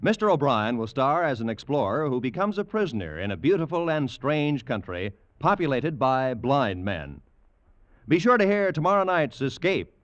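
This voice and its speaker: A man's voice: a little high, no room sound. An American man announcing in a formal, polished and emphatic 1940s radio style. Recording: dull vintage radio recording. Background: instrumental music.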